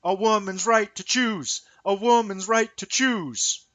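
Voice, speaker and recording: A man's voice: high voice, takes it slowly, fairly bright tone, no room sound, voice pushed up. A man voicing an eagerly agreeable husband who parrots slogans in a sing-song way, in a satirical reading. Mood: mocking agreement. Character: eagerly nodding, parroting pro-daycare husband, meekly agreeable.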